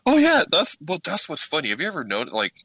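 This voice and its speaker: A man's voice: a little high, wildly swooping pitch, fast, pushed and bright, husky, dry recording. A man co-hosting a podcast, chatting casually in a laid-back way with short agreeing replies. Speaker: podcast co-host. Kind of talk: casual gaming news chat.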